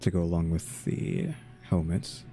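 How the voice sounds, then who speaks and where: Deep, creaky, laid-back male gamer. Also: flat delivery, unhurried, warm tone, a little hiss or hum. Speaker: gamer, video creator. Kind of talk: casual gameplay commentary.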